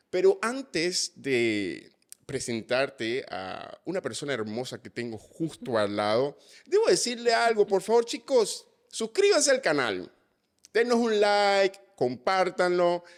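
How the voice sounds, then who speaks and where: Lively Venezuelan male host, higher voice. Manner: animated and chatty, with jokey asides. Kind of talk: casual interview chat.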